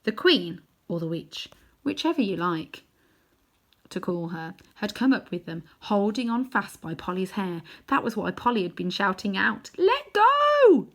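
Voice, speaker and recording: A woman reading a children's novel aloud in chapters, adding gentle asides to her listeners. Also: mid-range voice, animated, moderate pace. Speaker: storybook reader.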